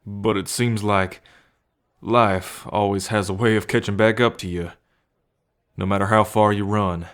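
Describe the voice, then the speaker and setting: Casting: male actor as a devoted bull man with a violent past, unhurried and halting, tender and earnest, for listener-directed ASMR roleplay. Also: on the low side, fairly even intonation, fairly bright tone. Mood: grateful, tender.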